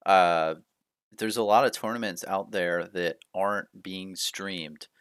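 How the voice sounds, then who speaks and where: Man, host, casual chat, rambling, fillers, encouraging, low pitch, dry close sound. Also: slow, a bit bright, smooth, no room sound. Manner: rambling, many fillers and restarts; upbeat, encouraging.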